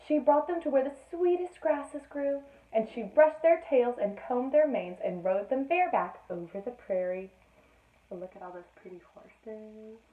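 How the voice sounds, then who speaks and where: A woman reading a children's story aloud in an expressive teacherly style, with playful asides to her listeners. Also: a little high. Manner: addresses listeners with playful questions, dramatic emphasis. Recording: roomy, echoey, narrowband sound.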